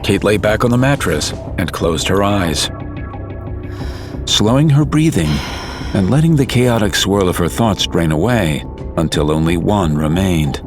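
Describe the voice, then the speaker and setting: A man narrating a story in a calm, measured, scripted way. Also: deep voice. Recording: music under narration.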